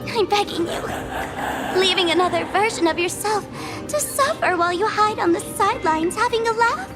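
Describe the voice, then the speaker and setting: A woman's voice: very high voice, expressive, normal speed. A woman voicing a mischievous, mocking young female trickster in an anime game, theatrical and playful. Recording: game music behind.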